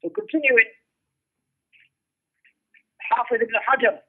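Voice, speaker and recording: A man's voice: very high voice, steady tone, slow, husky. A man teaching a religious class, explaining a text emphatically, repeating phrases and posing rhetorical questions. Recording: muffled narrow-band radio-like sound.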